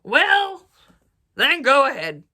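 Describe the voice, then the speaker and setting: A woman voicing a mean, grumpy male troll from a storybook, bellowing drawn-out threats. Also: sits high, very animated, pushed and bright, pitched above the performer's own voice, exaggerated voice. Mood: gruff, impatient.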